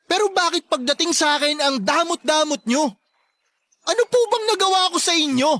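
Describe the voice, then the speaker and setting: A man's voice: very high-pitched, moderate pace, sharp tone, no echo. A young man playing a resentful, neglected grown son in a radio drama, plaintive and reproachful but respectful. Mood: hurt, reproachful. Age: young adult. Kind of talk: emotional radio drama acting.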